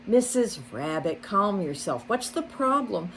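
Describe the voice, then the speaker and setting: A woman voicing a grand, wealthy gentleman who speaks in a stately, commanding way in a storybook reading. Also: mid-range voice, sing-song, a little slow, slight background noise.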